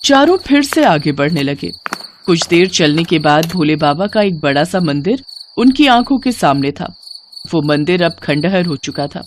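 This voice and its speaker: A woman's voice: lowish voice, background noise. A woman storyteller giving a dramatic scripted narration of a story.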